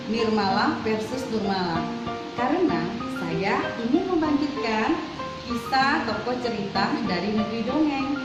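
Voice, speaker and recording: A woman teacher giving a scripted presentation in a recorded video. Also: on the high side. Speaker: primary school teacher. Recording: very echoey muffled video with loud music. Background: loud music with guitar.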